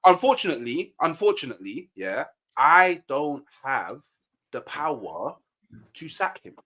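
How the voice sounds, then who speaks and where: Bright-voiced man arguing football. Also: on the high side, very animated, unhurried. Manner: defensive, repeats himself when pressed. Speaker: football fan panelist. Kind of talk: heated football debate on a livestream.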